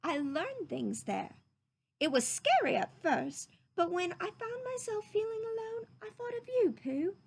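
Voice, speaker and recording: A woman voicing a kind, reassuring young boy in a storybook, with an earnest, expressive delivery. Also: very high-pitched, unhurried, vocal fry, voice pushed up, exaggerated voice. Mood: tender, earnest.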